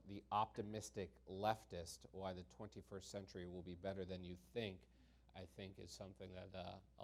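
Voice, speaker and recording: A man's voice: low voice, slight background noise. Man moderating with many ums. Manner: halting, many ums and uhs. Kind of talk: panel introduction.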